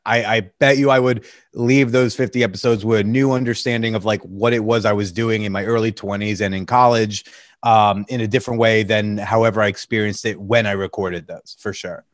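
A man's voice: fairly low pitch, steady tone, moderate pace, no echo, studio quality. A man in his thirties, a podcast guest, talking candidly and reflectively with a joking streak. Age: 30s. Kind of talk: casual interview chat.

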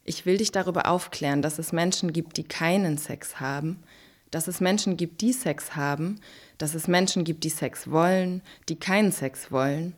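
A woman performing a scripted spoken-word monologue with insistent, list-like repetition. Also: on the low side. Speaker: performer in an educational audio play.

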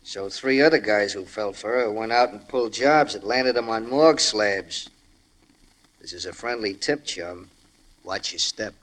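An actor in an old radio crime drama playing a nosy household butler, insinuating in folksy working-class slang with hesitant pauses. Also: male voice, lowish voice, a bit bright, low-quality recording. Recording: old-time radio broadcast recording.

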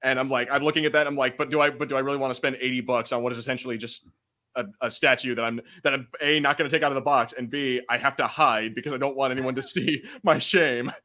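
A man co-hosting a podcast, telling stories in a casual, animated, self-deprecating way with some swearing. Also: mid-range voice, quick pace. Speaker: podcast co-host. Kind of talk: casual banter, storytelling.

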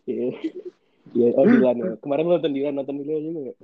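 A man's voice: on the high side, fast talker, no echo, narrow, tinny audio. A young man with a Jakarta Indonesian accent, a podcast co-host chatting casually in teasing, slangy talk. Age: young adult. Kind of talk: casual bantering chat.

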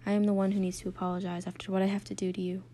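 A woman's voice: mid-range voice, completely flat delivery, racing, warm tone, slight background noise, natural voice. A woman playing a desperate fourteen-year-old girl in a story, dramatic, emotional and pleading. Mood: apologetic.

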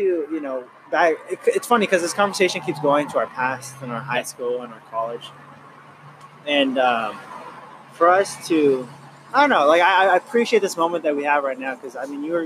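A man's voice: a little high, normal speed, noisy background. Earnest rambling young man in his twenties. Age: 20s. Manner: rambling, earnest, many fillers and restarts. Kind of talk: casual chat.

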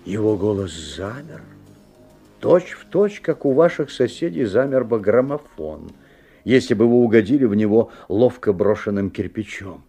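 An actor playing a wary, observant father who narrates a strange tale expressively, with theatrical pauses. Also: fairly low pitch, animated, dark tone, breathy edge. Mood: wondering, uneasy. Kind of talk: dramatic literary narration. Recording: 1970s audio play, music behind.

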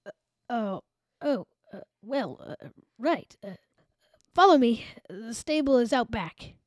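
A high-voiced performer playing a grumpy, harried male innkeeper in a children's Bible drama, complaining and blustering. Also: animated, slow, no echo, clean studio sound. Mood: flustered. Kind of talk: children's radio-play style Bible dramatization.

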